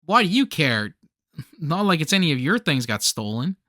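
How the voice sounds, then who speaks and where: An actor playing a young, theatrical, self-centred comic-book fan with a whiny, jokey way of talking in a comedy radio play. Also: male voice, a little high, very animated, normal speed, sharp tone, slight background noise. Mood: whiny, defensive.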